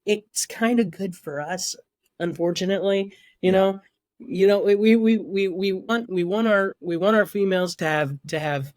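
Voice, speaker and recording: Young man with a high voice, stammering. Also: no echo, studio quality. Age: 20s. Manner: halting, repeats words, chuckles often. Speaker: podcast guest, actor. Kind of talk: casual podcast chat.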